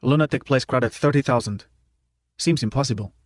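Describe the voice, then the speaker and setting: A man's voice: mid-range voice, fairly quick, no room sound. Male audiobook narrator, close dry sound. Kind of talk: scripted first-person novel narration.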